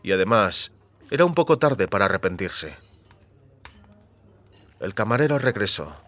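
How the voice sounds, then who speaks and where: A man narrating scripted audio fiction as a storyteller, in a measured, dramatic way. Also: fairly low pitch, big pitch swings, a bit bright.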